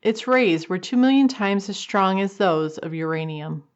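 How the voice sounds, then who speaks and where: A woman's voice: medium pitch, expressive, normal speed, highs cut off. A woman narrating an old-time radio drama from a script, in a storytelling style.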